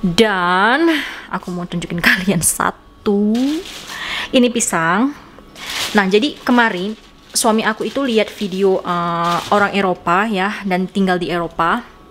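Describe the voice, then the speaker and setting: A woman's voice: mid-range voice, measured pace, fairly bright tone, a little hiss or hum. A woman from Indonesia, chatting casually to viewers in an informal, rambling, giggly vlog style. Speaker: vlogger.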